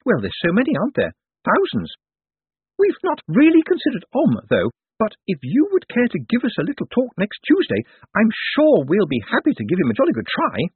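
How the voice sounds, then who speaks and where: A man's voice: high voice, huge pitch swings, a little fast, husky, dry recording, phone-quality sound, voice pushed up, full character voice. A man voicing an anxious, genteel middle-aged church lady in an audiobook, hesitant and polite. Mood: nervous, eager. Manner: hesitant, fluttering, polite and flustered.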